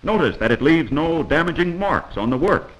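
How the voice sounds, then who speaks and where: A man's voice: a little high, animated, normal speed, fairly bright tone, rough recording. A man narrating a 1940s instructional film from a script, in an instructive, emphatic manner on a vintage film soundtrack.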